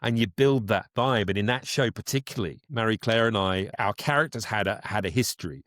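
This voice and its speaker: A man with a fairly low voice talks as a guest in a conversational interview. He explains things reflectively, giving examples as he goes. The sound is very dry, with no room around it. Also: a little fast, a bit bright, no echo. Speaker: interview guest, audio drama voice actor.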